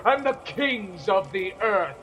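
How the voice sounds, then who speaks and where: A man's voice: very animated, takes it slowly, highs cut off, exaggerated voice. A man acting a fierce holy prophet crying doom in an audio play, incantatory and declaimed. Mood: wrathful. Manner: booming, incantatory, shouted.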